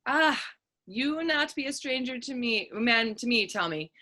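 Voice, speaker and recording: An actress playing a sassy, quick-witted young Irish woman in a stage play reading, saucy and challenging in folksy phrases. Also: a little high, expressive, bright, forceful tone, exaggerated voice. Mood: inquisitive. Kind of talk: stage readers theater dialogue.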